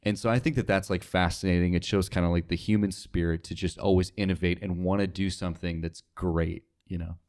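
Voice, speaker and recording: A man's voice: low-pitched, fairly even intonation, a little fast, hardly any pauses, a little mellow. A young man talking casually as an interview guest, informal and rambling, often saying "like". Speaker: podcast guest, radio sales associate.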